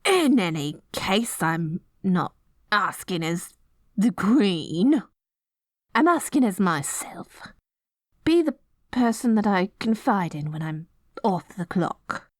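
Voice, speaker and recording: A woman's voice: mid-range voice, big pitch swings, takes it slowly, no room sound, studio quality. A woman playing a dorky, lovestruck evil queen in an ASMR roleplay, stammering and flustered between pompous villainous proclamations. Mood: tender, shy. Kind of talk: ASMR roleplay audio drama.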